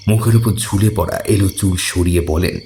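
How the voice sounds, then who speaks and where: A man reading scripted horror fiction as a narrator, measured and suspenseful. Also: deep voice, gravelly, mellow, faint music behind. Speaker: story narrator.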